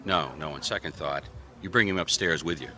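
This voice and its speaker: Brisk, blunt private detective. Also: male voice, a bass voice. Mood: firm, commanding. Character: no-nonsense male private detective, former city policeman. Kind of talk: radio mystery acting.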